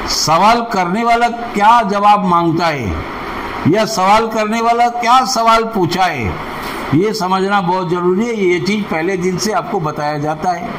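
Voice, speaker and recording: Older man, high-pitched, technical instructor, explaining, noisy room. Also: a little slow. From India. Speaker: senior HVAC instructor. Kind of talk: explaining in an interview before a class. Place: small classroom, indoors. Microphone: clip-on lapel mic.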